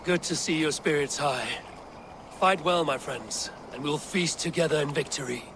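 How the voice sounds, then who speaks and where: A man's voice: a little high, lightly acted. Man acting a commanding Viking leader. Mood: wry, encouraging. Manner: commanding, measured. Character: commanding, loyal male Viking war leader, warm to allies. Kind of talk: video game voice acting. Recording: noisy outdoor ambience behind.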